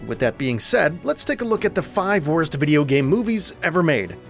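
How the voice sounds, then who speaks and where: A man's voice: fairly high pitch, very animated, normal speed, gravelly, faint music behind. A man reading scripted narration as the host of a movie list show, in a polished, emphatic presenter's delivery. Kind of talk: scripted video essay narration.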